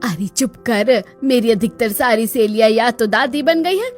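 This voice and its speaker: A woman's voice: on the high side, lively intonation, moderate pace, faint music behind, close to an everyday voice. A woman voicing a nagging, impatient older village mother-in-law in a cartoon, scolding and sarcastic. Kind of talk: Hindi cartoon dialogue.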